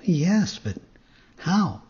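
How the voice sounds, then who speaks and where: Man doing a high, earnest boy marionette. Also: sing-song, very slow, warm tone, muffled recording, heavily acted. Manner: eager, indignant, innocent. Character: earnest, naive young boy marionette, excitable. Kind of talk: storybook character reading.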